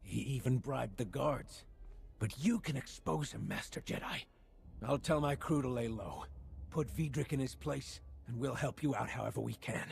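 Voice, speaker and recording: An actor playing a weary, aggrieved construction foreman in a video game, with a gruff and blunt way of talking. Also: medium pitch, moderate pace. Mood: bitter. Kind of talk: video game cutscene acting.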